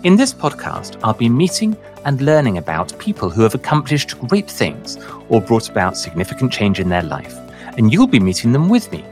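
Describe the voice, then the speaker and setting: A man hosting a podcast and coaching clients, reading a scripted introduction in a measured way before interviewing a guest. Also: mid-range voice, huge pitch swings, darkish tone, soft background music.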